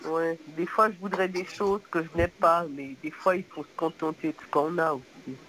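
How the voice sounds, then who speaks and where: A woman's voice: low-pitched, fairly even intonation, moderate pace, a bit bright. A woman in her seventies with a head cold, calling in and chatting candidly. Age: 70s. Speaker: caller. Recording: noisy phone line.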